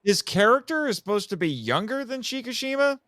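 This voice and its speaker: A man's voice: very high-pitched, very animated, a little fast, pushed and bright, no room sound, clean studio sound. A man co-hosting a casual film discussion, enthusiastic and emphatic, often repeating himself. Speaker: podcast co-host reviewing a film.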